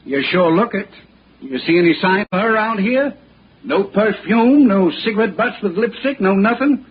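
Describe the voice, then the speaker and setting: A man's voice: sits high, expressive, normal speed, a little hiss or hum. An American actor playing a sarcastic, suspicious policeman who needles and mocks in an old radio detective drama. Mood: sarcastic, badgering. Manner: needling, mocking questions. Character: sarcastic, suspicious city policeman, bullying and mocking. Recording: muffled old radio broadcast.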